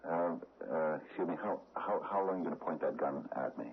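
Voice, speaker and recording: An actor playing a nervous, stammering cost analyst in a 1970s radio drama. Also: male voice, very deep voice, fast talker. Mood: timid. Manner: stammering, hesitant, full of uh's. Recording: lo-fi broadcast audio with faint hiss.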